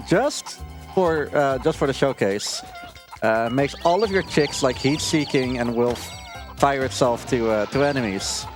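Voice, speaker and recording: A man giving casual live commentary as a speedrunner, with wry, hesitant asides. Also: mid-range voice. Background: video game music.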